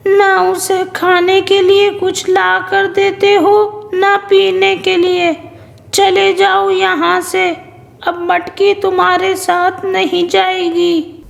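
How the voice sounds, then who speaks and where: A woman's voice: very high voice, creaky, roomy sound, heavily acted. A woman playing an older mother crow in a cartoon, protective and indignant, doting on her child and stern with others.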